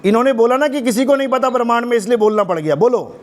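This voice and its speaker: High-voiced hoarse man, mocking debater. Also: very fast. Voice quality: combative, mocking. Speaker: spiritual teacher in debate. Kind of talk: heated religious debate.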